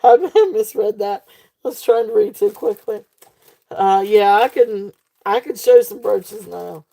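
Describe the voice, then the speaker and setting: Woman, live sale host, mellow gravelly voice going hoarse, chatty with plenty of fillers. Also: mid-range voice, expressive, measured pace, low-quality recording. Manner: chatty, fillers, reads comments aloud. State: losing her voice. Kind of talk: live auction selling chat.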